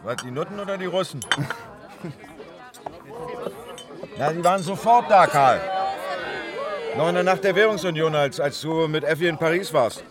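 A man's voice: a little high, brisk, natural voice. An actor playing a jovial underground pub barman in a radio drama, chatty and jokey in colloquial speech. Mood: excited, jovial. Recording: faint music and crowd murmur behind.

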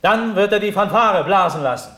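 An actor playing a dreamy, distracted young prince and officer in a classic radio drama, with a theatrical, absent-minded manner and abrupt outbursts. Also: male voice, high-pitched, expressive, normal speed, fairly bright tone. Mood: elated, distracted. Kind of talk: classic German radio play stage acting.

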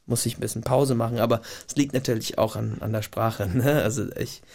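Gravelly man with an American accent. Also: mid-range voice, steady tone, darkish tone. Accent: American English. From Puget Sound region, USA. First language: not German. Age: adult, past university. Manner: pauses to think, restarts phrases, laughs. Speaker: radio guest. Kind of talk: casual interview talk. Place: radio studio. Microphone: studio microphone.

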